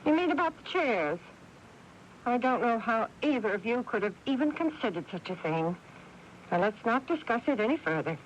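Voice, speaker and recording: A bossy agitated older mother, high swoopy voice, old radio drama. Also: sing-song, moderate pace. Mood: imperious. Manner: emphatic, indignant, dismissive. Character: imperious, possessive older mother, easily agitated. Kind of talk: 1980s radio drama acting. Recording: noisy, muffled old radio broadcast.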